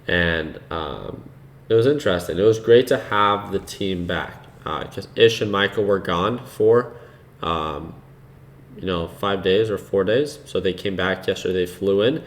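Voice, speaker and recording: Man, deep voice, soothing, relaxed live-stream talk, easygoing with fillers. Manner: relaxed and unhurried, laughs easily, many fillers. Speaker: host of a live trading stream. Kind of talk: casual live-stream commentary, reading chat.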